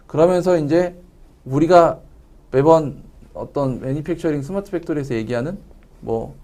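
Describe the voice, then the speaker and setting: A man's voice: a little high, moderate pace, mellow. A man explaining technical material as a company representative in a webinar interview.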